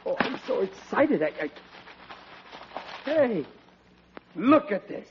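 A man's voice: high-pitched, takes it slowly, full character voice. An actor playing a goofy, forgetful middle-aged husband and father with a slangy Brooklyn accent in a vintage radio sitcom. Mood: gleeful, mocking. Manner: blustering, slangy, excitable. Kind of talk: 1940s radio sitcom acting. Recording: muffled, very noisy vintage radio recording.

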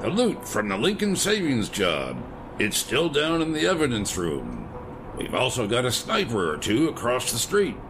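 An actor playing a stern veteran police commissioner with a firm, formal manner. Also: male voice, medium pitch, huge pitch swings, normal speed, background noise.

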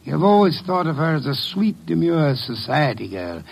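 A man playing a shrewd, pompous gentleman detective with formal, deliberate phrasing and a gravelly, husky voice in a 1950s radio drama. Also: a little high, big pitch swings, heavily acted. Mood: smug, pointed.

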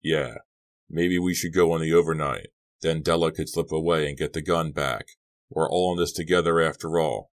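A man's voice: a bass voice, fairly even intonation, normal speed. A man voicing a level-headed teenage boy who leads his friends and talks tough, firm and steadying, in an audiobook. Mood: decisive.

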